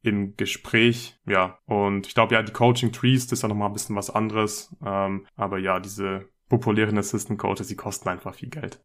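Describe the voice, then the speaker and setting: Deep voiced guy on a podcast, pretty flat delivery, casually breaking down basketball stuff. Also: normal speed, few pauses. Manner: explanatory, uses English sports terms. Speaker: podcast guest.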